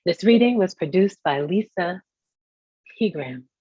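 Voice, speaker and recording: A woman's voice: on the low side, animated, measured pace, warm tone, breathy edge, no echo. A woman giving a scripted welcome as host of a reading, on a slightly dull recording.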